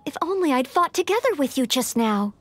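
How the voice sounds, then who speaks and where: A woman's voice: high-pitched, very animated, fairly quick, a little hiss or hum. A woman voicing an earnest, polite young female knight-in-training in an anime-style video game. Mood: earnest, thoughtful.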